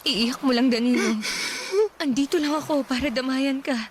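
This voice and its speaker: A woman's voice: high-pitched, steady tone, moderate pace, a little hiss or hum, close to an everyday voice. A young actress playing a hurt, loyal woman in love in a radio drama, emotional and pleading. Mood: tender. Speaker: daughter and girlfriend in radio drama.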